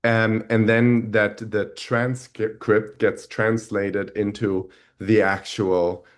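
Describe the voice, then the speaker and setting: A man with a thick German accent walks viewers through a product on a video call. His voice sits a little low, and he often stops and restarts mid-sentence. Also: level tone. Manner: halting, many restarts and fillers. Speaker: webinar host. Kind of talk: product demo webinar talk.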